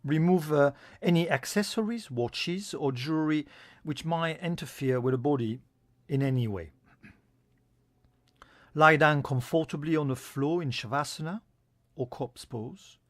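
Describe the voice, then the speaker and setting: A man giving a guided yoga nidra meditation as an instructor, in a calm, soothing, instructional manner. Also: fairly high pitch, unhurried, no echo.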